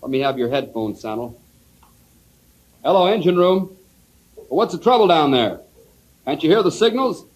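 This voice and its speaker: Submarine second-in-command male actor, high voice, dutiful and anxious, crisp naval reporting, vintage radio acting. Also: expressive, normal speed, long pauses, natural voice, low-quality recording. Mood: anxious, urgent. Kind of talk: old-time radio drama acting.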